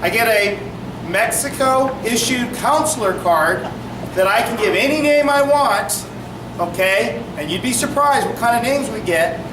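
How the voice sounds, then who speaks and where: High-voiced officer projecting to a room. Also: male voice, lively intonation. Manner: projecting, brisk, asks the audience questions. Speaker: police officer. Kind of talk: public meeting explanation. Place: community meeting hall. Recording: echoey room from far off, noisy.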